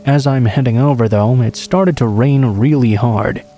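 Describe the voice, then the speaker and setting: A man reading true scary stories aloud as a scripted narration, in a steady, low-key delivery. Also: moderate pace. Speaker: horror story narrator.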